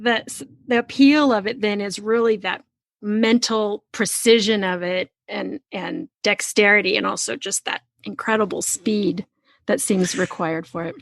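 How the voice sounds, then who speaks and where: A woman's voice: mid-range voice, fairly even intonation, unhurried, gravelly, dry recording. A middle-aged woman hosting a casual interview, speaking in an effusive, emphatic way. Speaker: podcast host.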